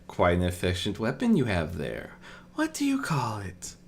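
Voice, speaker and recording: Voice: sits low, wildly swooping pitch, normal speed, slightly rough, exaggerated voice. A performer voicing a blunt, confident, mischievous warrior woman with a cool, teasing manner in a storybook. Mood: courteous, curious.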